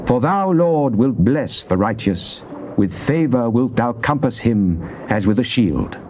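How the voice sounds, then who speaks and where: An actor playing a devout psalmist in a dramatized scripture reading, with a British accent and solemn, declamatory, measured phrasing. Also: male voice, medium pitch, sing-song, a little mellow. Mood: earnest, prayerful. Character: devout psalmist pleading and praising God. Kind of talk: dramatized scripture reading, classical stage delivery. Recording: dull, noisy old cassette tape.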